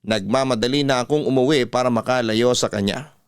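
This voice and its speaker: Smooth male radio storyteller. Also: medium pitch, fairly quick, dry recording, clean studio sound. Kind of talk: scripted first-person story narration, classic radio manner.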